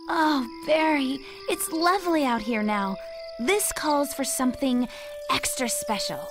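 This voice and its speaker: A woman playing a gentle, cheerful young florist in an animated video game, easygoing and dreamy. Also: high-pitched, a little slow, music in the background.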